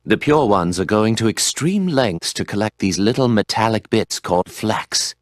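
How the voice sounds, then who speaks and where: A man narrating a game story in a measured, poetic way, over game music. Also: fairly low pitch, lively intonation, no room sound, clean studio sound.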